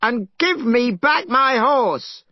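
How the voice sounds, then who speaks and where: A hot-tempered monkey king bellows high and fierce, as a man reads him aloud. His shouts leap up and down with wild energy. The recording is dull and dry. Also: lively intonation, moderate pace, no echo, natural voice. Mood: challenging. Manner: shouting, furious. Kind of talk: storybook reading.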